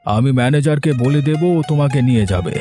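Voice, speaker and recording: A man voicing a firm older patriarch in an animated moral tale. His voice is medium in pitch and reassuring, with light music underneath. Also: fast, close to an everyday voice. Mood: dismissive, reassuring. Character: firm, reassuring older father, family business owner. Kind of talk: cartoon story dialogue.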